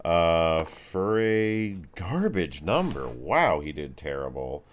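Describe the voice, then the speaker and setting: A man's voice: deep voice, big pitch swings, slow, a little hiss or hum. A man narrating a tabletop game as game master in casual, slangy language with vivid action descriptions.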